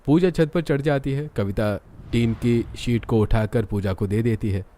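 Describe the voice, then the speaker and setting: A man's voice: mid-range voice, moderate pace, warm tone, a little hiss or hum. A man reading scripted narration for a cartoon story.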